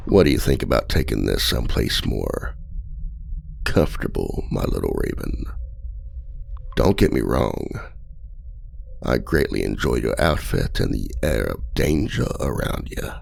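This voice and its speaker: Brief: a man voicing a flirty, manipulative male outlaw, very deep and rough-edged, slow, unhurried delivery. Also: heavily acted. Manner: flirty, teasing, smugly condescending. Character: charming, manipulative male outlaw escape artist. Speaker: voice actor. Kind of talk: ASMR audio roleplay.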